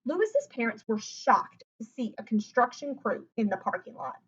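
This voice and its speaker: A high-pitched woman reads a children's story aloud with animated expression. She slips easily into chatty asides to her listeners. The recording is a little dull and lo-fi. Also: normal speed, slightly rough. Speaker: teacher reading a picture book aloud.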